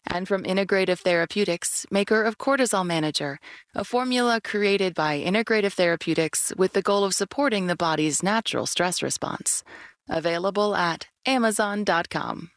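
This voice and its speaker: A woman's voice: mid-range voice, moderate pace, no room sound, studio quality. A woman reading scripted sponsor credits as an announcer on public radio.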